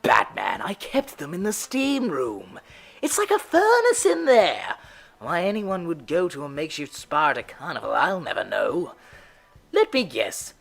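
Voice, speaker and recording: A woman playing a sarcastic, sinister male clown villain in an audio drama, with a creaky voice and mocking, drawn-out delivery that swings from murmurs to shouts. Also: deep voice, huge pitch swings, soft background music, exaggerated voice. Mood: gleeful scorn.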